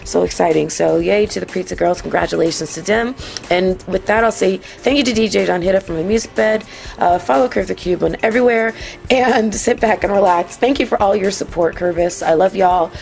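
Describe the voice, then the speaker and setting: A slightly hoarse woman hosting and producing a podcast, bubbly and excitable in casual chat. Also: mid-range voice, a little fast, faint music behind. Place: restaurant on the water.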